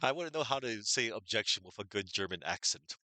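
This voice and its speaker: A man's voice: fairly low pitch, expressive, quick pace, pushed and bright, no echo. A man chatting casually while streaming a game, rambling and hesitant with lots of "like", English not his first language. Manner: rambling, hesitant, many "like"s, laughs at himself. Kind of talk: casual live-stream commentary.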